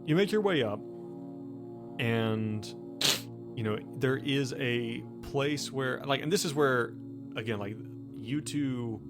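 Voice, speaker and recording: A man running a tabletop roleplaying game, improvising scene narration in a conversational, halting way with frequent rephrasing. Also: mid-range voice. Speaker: game master. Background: background music.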